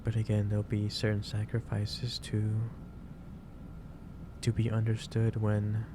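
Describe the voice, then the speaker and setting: Male, low-pitched, gentle boss roleplay in ASMR style, halting advisory delivery, over clearly noisy ambience. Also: monotone. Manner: hesitant, halting, pausing mid-sentence, gently advising. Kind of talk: soft ASMR roleplay, improvised monologue to the listener.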